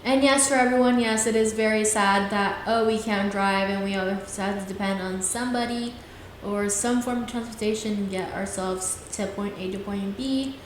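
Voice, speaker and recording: A woman's voice: medium pitch, fairly even intonation, moderate pace. Echoey young woman podcasting solo. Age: 20s. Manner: earnest, rambling, frequent "things like that". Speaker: podcast host. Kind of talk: casual solo podcast talk. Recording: very echoey room, faint hiss.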